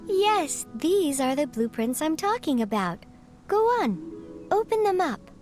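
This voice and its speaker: A woman's voice: high-pitched, very animated, a little slow, a little mellow. A woman voicing a plump, bird-like female teapot spirit in a video game, formal and prim as a butler. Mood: courteous, instructive. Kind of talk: video game character dialogue. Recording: music under the voice.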